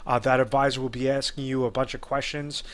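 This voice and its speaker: A man's voice: mid-range voice, flat delivery, fast talker, fairly bright tone. Male advisor with a mid-range voice, explaining off the cuff and full of ums. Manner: unscripted, many fillers and restarts. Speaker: retirement advisor. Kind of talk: explainer video talk.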